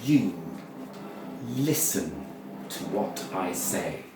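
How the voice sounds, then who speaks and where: A man's voice: low-pitched, big pitch swings, over music, voice pushed down, heavily acted. Man playing an imperious evil fairy, echoey room. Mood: menacing. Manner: slow, imperious, declamatory pronouncements. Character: fuming evil fairy, haughty and menacing, female. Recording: very echoey room, far from mic.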